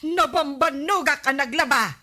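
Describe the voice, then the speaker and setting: A woman's voice: high-pitched, normal speed, bright, forceful tone. A woman with an Ilocano accent playing an overworked, grumbling village captain's wife in a radio comedy drama, exasperated and emphatic.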